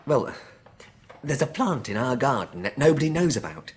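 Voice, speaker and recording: Earnest English boy, swooping and husky. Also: mid-range voice, sing-song, normal speed. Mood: nervous, earnest. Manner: hesitant, earnest, precise. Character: earnest, curious teenage boy, a keen amateur botanist. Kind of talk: British radio drama acting.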